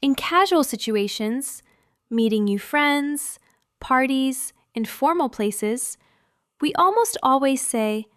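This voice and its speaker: A young woman from California co-hosting an English-learning podcast, speaking clearly like a teacher and laughing often. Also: high voice, no room sound, studio quality. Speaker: podcast co-host.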